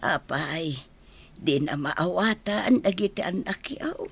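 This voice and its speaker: A woman playing a tender, concerned elderly mother in an Ilocano radio drama, with a raspy, creaky voice and an Ilocano accent. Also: on the low side, animated, takes it slowly, exaggerated voice. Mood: troubled, gentle.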